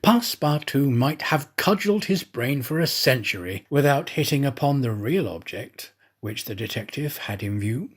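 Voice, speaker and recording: Expressive, slightly husky male storybook narrator. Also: mid-range voice. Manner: theatrical, expressive, measured phrasing. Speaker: audiobook narrator, actor. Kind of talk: scripted storybook narration of a classic novel.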